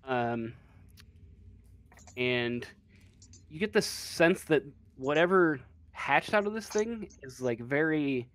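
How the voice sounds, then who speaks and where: A man narrating as game master of a tabletop game, improvising in a hesitant, halting way. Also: fairly high pitch, animated, no echo.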